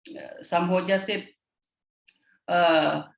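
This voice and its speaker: A woman from Indonesia with an Indonesian accent, giving a halting panel presentation full of hesitations. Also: fairly low pitch, steady tone, creaky. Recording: roomy echo.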